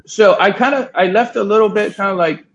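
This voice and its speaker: A man's voice: high voice, fairly quick, a bit bright. A man in his thirties from Miami telling a long story in a casual interview, swearing now and then and quoting others. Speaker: guest, cigar importer. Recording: dull lo-fi sound.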